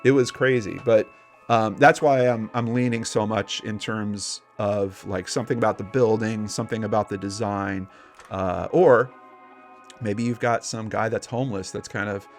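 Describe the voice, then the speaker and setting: A man's voice: fairly low pitch, fairly even intonation, normal speed, soft background music. A man co-hosting a casual paranormal discussion, talking informally and telling personal anecdotes with plenty of conversational asides. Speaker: podcast co-host.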